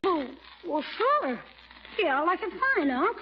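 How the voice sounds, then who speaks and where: Cheeky kid, super high voice, old radio comedy, really noisy. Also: male voice, big pitch swings, unhurried, lo-fi sound, full character voice. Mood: pleading. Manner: cheeky, slangy. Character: cheeky, slangy schoolboy nephew. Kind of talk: 1940s radio comedy acting.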